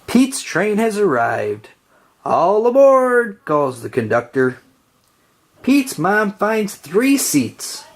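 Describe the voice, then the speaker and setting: A woman reading a children's picture book aloud in a gentle storytelling way. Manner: slow, gentle storytelling with lively pitch. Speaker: storybook reader.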